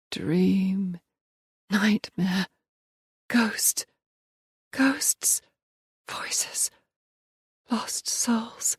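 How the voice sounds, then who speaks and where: A woman performing a broken, tormented young woman in an audiobook, speaking in halting one-word fragments that drift between whispers and cries. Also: medium pitch, no room sound, studio quality, exaggerated voice. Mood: haunted. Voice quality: breathy. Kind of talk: audiobook inner-monologue performance.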